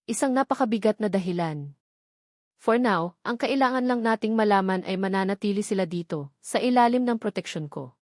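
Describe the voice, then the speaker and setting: A woman's voice: mid-range voice, expressive, fairly quick, a little mellow, dry recording, studio quality, close to an everyday voice. A woman with a Filipino accent voicing a firm, protective male mayor in a romance story, in a resolute, clipped manner. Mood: softer, protective.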